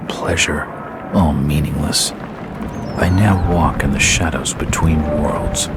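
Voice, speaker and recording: A man's voice: a bass voice, heavily acted. An actor playing a haunted, despairing man who recounts his ruin in a grave, brooding dramatic monologue. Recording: heavy storm noise behind voice.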